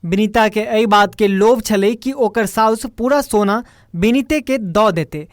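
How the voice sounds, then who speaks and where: A woman's voice: medium pitch, unhurried, no echo, studio quality, faint music behind. A woman with a Maithili accent narrating a scripted folk story as the storyteller.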